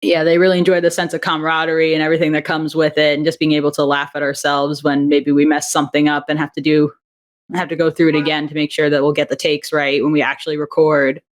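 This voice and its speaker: A woman's voice: low-pitched, flat delivery, fast. A woman, an audio drama writer and director, talking casually in an interview, chatty with frequent restarts and easy laughter.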